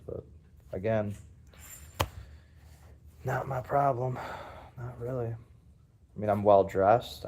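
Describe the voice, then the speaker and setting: A man, livestreaming casual commentary in a public building, rambling and talking straight to his viewers. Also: dark tone. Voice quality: deep. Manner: rambling, defiant, addresses viewers directly.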